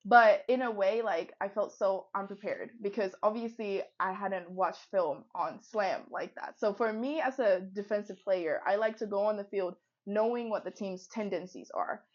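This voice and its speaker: A woman's voice: mid-range voice, normal speed, few pauses. Chatty young woman, lo-fi sound. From Finland. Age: 20s. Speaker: podcast co-host and football player. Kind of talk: casual podcast chat.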